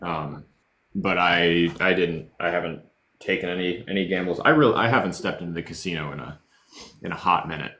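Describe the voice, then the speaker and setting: A man's voice: deep voice, a little fast, pushed and bright. A man telling a story in a laid-back casual chat. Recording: echoey room.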